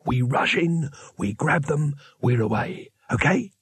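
A man's voice: fairly high pitch, animated, unhurried, a bit bright, breathy edge, no echo, heavily acted. A man voicing the bossy, short-tempered male leader of a band of wizards in a fantasy audiobook. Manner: snarling, impatient.

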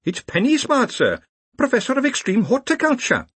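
A man's voice: very high-pitched, huge pitch swings, moderate pace, fairly bright tone, breathy edge, dry recording, pitched above the performer's own voice, exaggerated voice. A man voicing a fussy middle-aged wizard professor who sounds prim, doubtful and easily offended. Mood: taken aback.

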